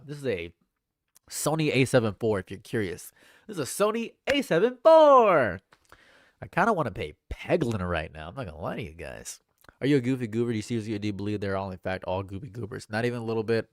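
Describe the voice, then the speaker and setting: A young man with a stuffed-up nose, reading chat comments on a livestream in a dry, deadpan way. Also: lowish voice, dry recording. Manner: dry and deadpan, laughs at own jokes. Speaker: livestream host. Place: home room.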